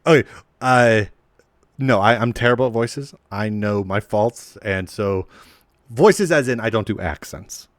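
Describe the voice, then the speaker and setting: A man co-hosting a casual podcast, animated and self-deprecating, who restarts his sentences a lot. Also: lowish voice, unhurried, no room sound, clean studio sound. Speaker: podcast co-host and game master.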